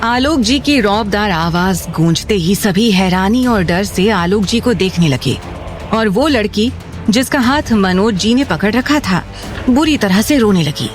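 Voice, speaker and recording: Female narrator for a dramatic serial story, medium pitch, light music bed. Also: lively intonation, measured pace. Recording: music under the voice.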